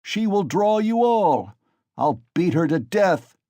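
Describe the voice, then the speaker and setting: A man's voice: sits high, expressive, unhurried, a little mellow, no room sound, pitched above the performer's own voice. A man voicing a cruel, drunken young male peasant who bellows and rages. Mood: drunken bellowing. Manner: bellowing, raging.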